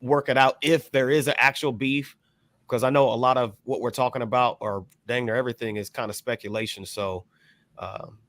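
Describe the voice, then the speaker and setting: Measured male panelist, dry close sound. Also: mid-range voice, fairly even intonation, a bit bright, no echo. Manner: hesitant, hedging, measured. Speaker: podcast panelist. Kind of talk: casual discussion.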